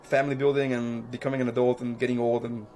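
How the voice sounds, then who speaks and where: A man co-hosting a podcast in casual chat, halting and repeating himself as he searches for words. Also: medium pitch, level tone, darkish tone. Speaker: podcast co-host.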